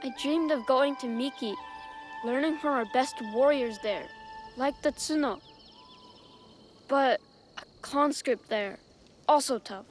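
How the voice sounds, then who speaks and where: A frightened young child soldier with a high voice, guilt-ridden and pleading in halting, stammering phrases. It is game cutscene drama with faint music behind. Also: female voice. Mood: subdued, confessional.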